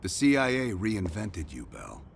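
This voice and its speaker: A man acting as a hard, coercive CIA operative in a video game, speaking in a measured, deliberate and intense way. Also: deep voice, huge pitch swings, vocal fry, slight background noise, heavily acted. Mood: cold, explanatory. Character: hard, coercive male CIA operative leading a covert team.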